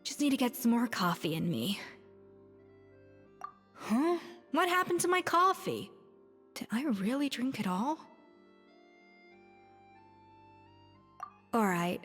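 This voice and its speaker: A woman's voice: on the high side, sing-song, slow, pauses often, a bit bright, faint music behind, exaggerated voice. A woman playing a strict, proud young perfectionist chef in an anime video game, speaking articulately and emphatically. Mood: groggy.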